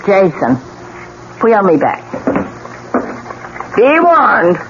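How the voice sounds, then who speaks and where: Casting: elderly widow, bitter and foreboding, widely swinging pitch, 1970s radio drama, muffled sound. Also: female voice, sing-song. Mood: vengeful, warning. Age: older. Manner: dramatic, slow, foreboding delivery. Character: bitter old widow, ominous and insistent. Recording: dull, noisy old radio broadcast.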